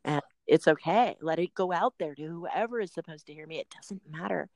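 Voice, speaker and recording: A woman's voice: on the low side, a little fast, a little mellow, no echo, clean studio sound. A middle-aged woman hosting a podcast, chatting casually with frequent laughs and rambling asides. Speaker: podcast host and personal trainer.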